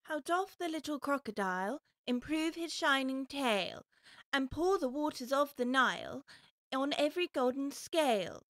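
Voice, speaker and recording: An actress playing a young girl in an audiobook, polite and easily upset, earnestly questioning herself out loud. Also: high voice, animated, measured pace, no echo. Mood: careful reciting. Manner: earnest self-questioning, talks to herself aloud. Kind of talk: full-cast audiobook storybook acting.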